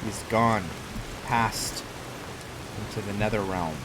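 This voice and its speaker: Slow-talking man doing casual game commentary. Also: lowish voice, steady tone, very noisy. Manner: laid-back, rambling, talks to game characters. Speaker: gaming YouTuber, host of a roleplay let's play. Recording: game music and rain behind voice. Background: loud game music, rain and thunder.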